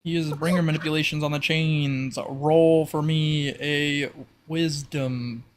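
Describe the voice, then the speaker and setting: A man running an online tabletop roleplay game as game master, halting as he thinks aloud. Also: on the high side, fairly even intonation.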